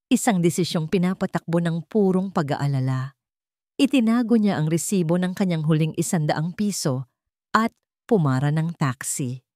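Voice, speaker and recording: A woman's voice: on the low side, expressive, normal speed, darkish tone, dry recording, studio quality. A woman narrating a romantic melodrama as a scripted, dramatic story.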